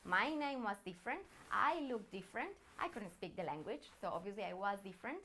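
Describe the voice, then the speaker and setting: A woman's voice: mid-range voice, animated, fairly quick, pushed and bright. A woman from Colombia with a Colombian accent and native Spanish, speaking as a personal branding coach in an encouraging, earnest motivational talk straight to the listener.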